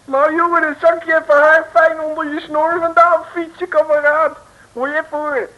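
A man's voice: extremely high pitch, little pitch movement, moderate pace. A man playing a brash, impatient convict safecracker who talks in chummy, bossy underworld slang in a comic radio serial. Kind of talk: 1950s Dutch comic radio serial acting. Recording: dull, lo-fi old radio recording.

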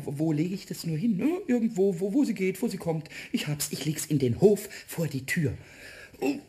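A man voicing a small, mischievous, childlike kobold in a children's radio play, teasing and giggly, with a squeaky, impish sing-song voice. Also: a little mellow, slight background noise.

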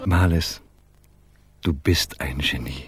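An actor playing an excitable, greedy conspirator in a radio crime comedy. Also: male voice, rumbling low, takes it slowly, music in the background. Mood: sly, gleeful. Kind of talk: radio drama acting.

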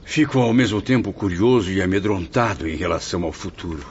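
Repentant spirit for radio drama: very deep, wistful, earnest Brazilian, slightly dull noisy recording. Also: male voice, animated, brisk. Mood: anxious, grateful. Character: anxious, humble male spirit of a repentant suicide. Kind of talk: scripted radio drama acting. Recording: muffled audio with faint noise.

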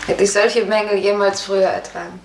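A woman's voice: mid-range voice, flat delivery, fairly quick, darkish tone, close to an everyday voice. An actress playing a fragile, fearful serf woman who once danced, earnest and hesitant, in an old radio play. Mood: wistful sorrow. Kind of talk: 1950s German radio play acting. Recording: echoey, hissy 1950s radio recording.

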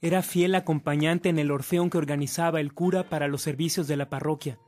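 Male narrator, scripted radio drama, somewhat high pitch, soft background music. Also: fairly even intonation, fairly quick.

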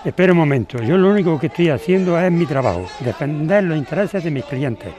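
Casting: actor as impatient middle-aged male lawyer, condescending, quick delivery, audio drama with street crowd behind. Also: on the high side, lively intonation, a little mellow, lightly acted. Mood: impatient, defensive. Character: stubborn, dismissive corporate lawyer, father of an activist.

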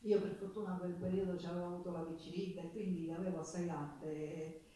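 A woman's voice: on the low side, fairly even intonation, dark tone, slight background noise, close to an everyday voice. An actress playing a poor, plain-spoken Sicilian working woman who recounts her hard life in an earnest theatrical monologue with dialect words. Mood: matter-of-fact. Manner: earnest, colloquial storytelling with dialect words and pauses. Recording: echoey stage recording from far off.

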